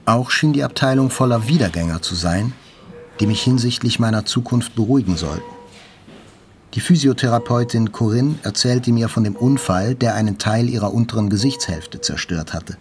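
A man's voice: medium pitch, normal speed, natural voice. An actor playing a wounded journalist, narrating his hospital stay soberly and introspectively in an audio drama. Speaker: first-person narrator. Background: faint music.

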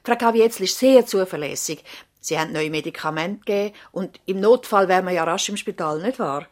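A woman's voice: on the low side, brisk, natural voice. A woman playing a sensible, composed doctor's nurse in a radio drama, matter-of-fact, with a Swiss accent. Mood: calm, concerned. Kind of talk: German-language radio drama acting.

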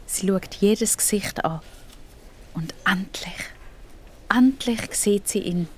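A woman's voice: medium pitch, takes it slowly, husky, in a whisper. A woman with a Swiss German accent narrating a scripted audio story for children.

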